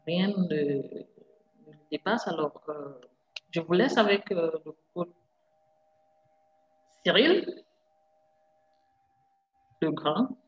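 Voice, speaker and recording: A woman's voice: lowish voice, expressive, very slow, pauses often. Emphatic woman on a humming, echoey call. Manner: emphatic, urging, with fillers. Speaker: event moderator. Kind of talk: introducing a speaker. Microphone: video call. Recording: echoey dull video call with hum.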